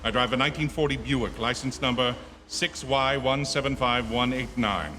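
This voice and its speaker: A man's voice: medium pitch, moderate pace, pushed and bright, slight background noise, close to an everyday voice. Radio thriller role: male actor as troubled traveler, thirties, confessional and dramatic, tense tone, long hall reverb. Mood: urgent, uneasy. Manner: urgent, confessional, dramatic delivery. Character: anxious 36-year-old traveler recounting an uncanny ordeal. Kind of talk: old-time radio thriller acting. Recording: echoey theatre hall with music underneath.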